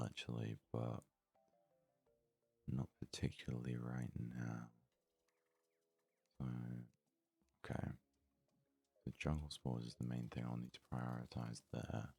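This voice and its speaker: Very deep, gravelly, hushed ASMR man. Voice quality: husky, breathy, hushed voice. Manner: murmured, unhurried thinking aloud. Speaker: let's play host. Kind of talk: ASMR gaming commentary.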